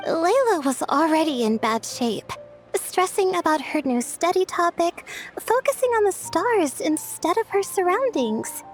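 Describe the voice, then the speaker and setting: A woman voicing a composed, gentle young woman in a video game, speaking in a soft, deliberate, formal manner. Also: very high voice, exaggerated voice. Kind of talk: video game character voice acting.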